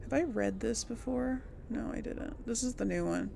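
A woman's voice: fairly high pitch, moderate pace, creaky, warm tone, a little hiss or hum. A woman giving casual gameplay commentary, thinking aloud in trailing fragments over game music. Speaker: gameplay host.

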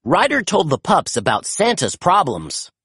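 A storybook narrator reading aloud to children in an expressive, animated way with dramatic emphasis. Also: mid-range voice, unhurried, breathy edge, no room sound.

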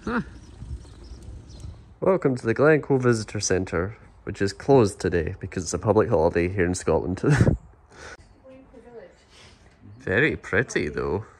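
A man's voice: lowish voice, very animated, a little slow, long pauses, slight background noise. A man in his thirties casually narrating a travel vlog, enthusiastic and marveling at the scenery, filmed on a handheld camera. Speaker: vlogger. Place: outdoors on hills and in a cafe. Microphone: handheld camera at arm's length.

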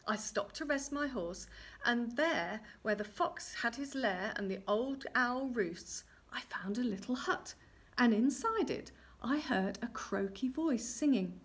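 A woman's voice: fairly high pitch, lively intonation, unhurried, fairly bright tone, slightly rough, heavily acted. A woman voicing an eager young groom's lad in a storybook tale, telling his news with urgency. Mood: eager, urgent.

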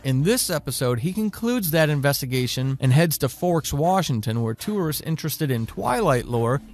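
A man's voice: a little high, expressive, moderate pace, darkish tone. A man reading a scripted podcast introduction as the announcer. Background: faint music.

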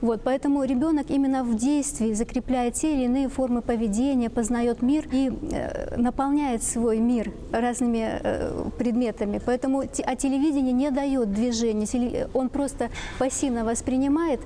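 A middle-aged woman with a soft-toned, rather high voice speaks as an expert on a talk show. She explains point by point, pausing on hesitant fillers. The studio recording carries faint noise. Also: fairly even intonation. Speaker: psychologist, expert guest.

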